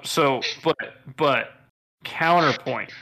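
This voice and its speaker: A man's voice: on the high side, takes it slowly, gravelly, bright, forceful tone, rough recording. Young man teasing crudely on a podcast. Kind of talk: casual podcast banter.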